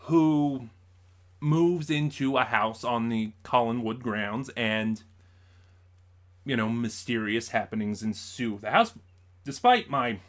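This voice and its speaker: A man's voice: mid-range voice, slow pace. A man vlogging a casual unboxing review, explaining things in a rambling way and often correcting himself. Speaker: vlogger reviewing audio drama purchases.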